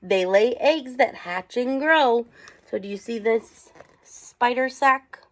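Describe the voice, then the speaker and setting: A woman's voice: on the high side, takes it slowly. A woman teacher reading aloud to children, with chatty asides and questions for her young listeners.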